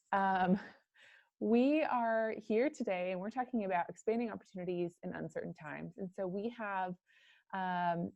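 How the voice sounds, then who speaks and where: A woman's voice: on the low side, darkish tone, no room sound, highs cut off. A woman hosting a virtual career panel, talking in a friendly way with many fillers. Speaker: host, career center manager. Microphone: video call.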